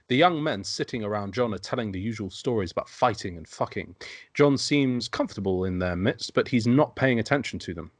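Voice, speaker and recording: A man's voice: on the low side, no room sound. A man narrating stage directions from a script at an amateur table read.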